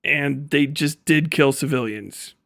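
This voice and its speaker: A man's voice: a little high, takes it slowly, vocal fry, a bit bright, dry recording, clean studio sound. A man giving a casual livestream rant as host, swearing freely in slangy, sarcastic talk and often laughing as he speaks.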